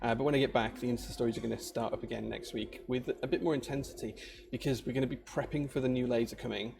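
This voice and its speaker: Gravelly-voiced Yorkshire man chatting. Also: medium pitch, steady tone, fast talker. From Yorkshire, England. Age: adult, married. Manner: chatty, rambling, many fillers and restarts. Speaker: maker livestreamer. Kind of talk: casual livestream chat with viewers. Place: workshop.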